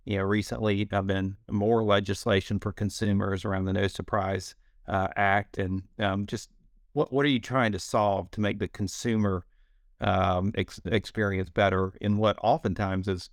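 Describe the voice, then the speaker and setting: Upbeat man hosting, low voice. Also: fairly even intonation, moderate pace, no echo, clean studio sound. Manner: upbeat, complimentary. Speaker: podcast host, company CEO. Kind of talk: podcast interview hosting.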